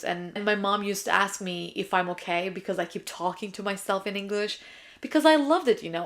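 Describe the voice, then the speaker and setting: Young woman, native Turkish speaker, mid-pitched voice, chatty, emphatic, casual vlog delivery, English teacher. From Turkey. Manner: chatty, emphatic, self-described yapper. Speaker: English teacher and video host. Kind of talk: casual vlog chat, answering viewer questions.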